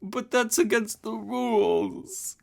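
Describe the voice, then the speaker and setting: Man doing a high whiny weepy goddess. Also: animated, a little slow, vocal fry, full character voice. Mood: weepy pleading. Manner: high whiny sobbing falsetto. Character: love-struck weepy young goddess Ozma, woman.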